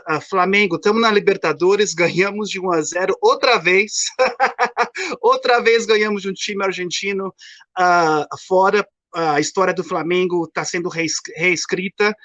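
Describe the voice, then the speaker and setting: A man's voice: sits high, bright, forceful tone, no echo, dull audio. A man, calling into a live show and chatting in a rambling way with many fillers and restarts. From São Paulo, Brazil. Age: 50s. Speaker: caller. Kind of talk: casual chat. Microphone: remote call.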